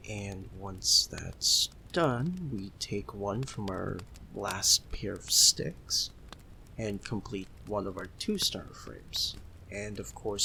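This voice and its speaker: A man's voice: fairly low pitch, vocal fry, a little hiss or hum, exaggerated voice. A man playing a giddy, homesick young friend in an ASMR roleplay, chatting casually with a giggly, hesitant manner. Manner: giggly, hesitant, softly excitable. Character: giddy, homesick young male Filipino friend, sweet and apologetic. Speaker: audio roleplay performer.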